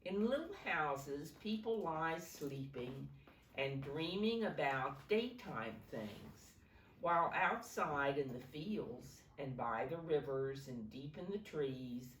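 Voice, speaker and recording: A woman with a low voice reads and explains to small children like a preschool teacher. Her pitch moves expressively as she takes her time and asks simple questions. The sound is roomy and a little distant from the mic. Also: expressive, takes it slowly, a bit bright, a little hiss or hum. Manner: simple, instructive, often questioning the listeners. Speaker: preschool teacher reading aloud.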